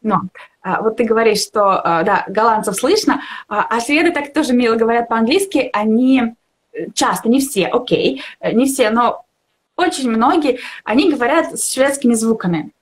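A woman's voice: a little high, lively intonation, normal speed. A young woman chatting casually as a language teacher in a live discussion, encouraging and reflective, often searching for words. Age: young adult. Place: indoor room at home, video call.

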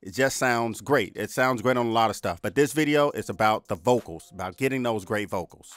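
Deep-voiced man in a dry home studio. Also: fairly quick, a bit bright, no echo. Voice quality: deep baritone. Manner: laid-back, chatty, addresses viewers directly. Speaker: music producer reviewing gear. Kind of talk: casual gear review to camera. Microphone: large studio condenser mic on boom, headphones on.

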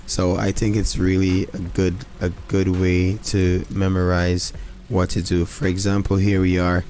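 A man's voice: low-pitched, completely flat delivery, a little slow. An enthusiastic man casually narrating a demo as a video creator. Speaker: flight sim video creator. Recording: loud music behind voice.

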